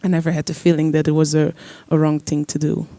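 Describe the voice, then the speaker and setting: A young man, a trombone player, answering on a discussion panel in a searching, halting way with many fillers. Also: a little high, monotone, mellow, dry recording. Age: young adult. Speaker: musician guest, trombone player.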